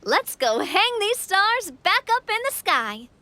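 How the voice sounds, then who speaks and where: Sunny young king, high lively voice, bright tone, soft music underneath. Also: takes it slowly, slight background noise, full character voice. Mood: cheerful. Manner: upbeat, friendly, reassuring. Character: cheerful young king of a storybook city. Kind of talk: game voice acting.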